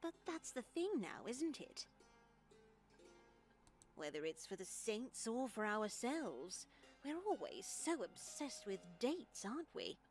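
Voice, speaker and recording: Older convent nun for a visual novel: genial, teasing, measured and slow, lively pitch. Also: female voice, faint music behind, full character voice. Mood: teasing, musing. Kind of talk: visual novel game voice acting.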